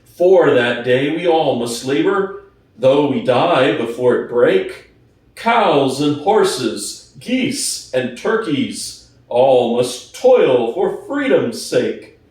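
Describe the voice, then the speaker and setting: A man's voice: medium pitch, big pitch swings, darkish tone. Man playing an echoey, solemn old boar orator. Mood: rousing, hopeful. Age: older. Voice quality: hoarse. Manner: slow, emphatic oratory with weighty pauses. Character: aged boar orator, solemn, fervent revolutionary leader. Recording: distant, very echoey room.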